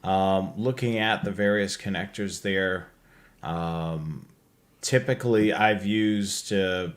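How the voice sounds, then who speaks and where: A man's voice: low-pitched, slow pace. A man hosting a live show, presenting products in a casual, conversational walkthrough. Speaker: show host presenting slides.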